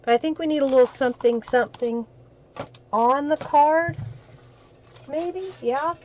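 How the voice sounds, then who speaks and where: Hoarse woman chatting slowly. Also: high voice, a little mellow, muffled recording. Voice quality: crackly. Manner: chatty, talks to viewers while she works. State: hoarse from a cold. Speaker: craft live-stream host. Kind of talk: casual live-stream crafting chat.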